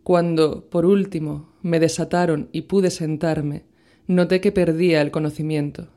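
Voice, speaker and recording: A woman giving a slow, measured dramatic reading of a horror tale, with long pauses between phrases. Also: sits low, fairly even intonation, mellow. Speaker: reader.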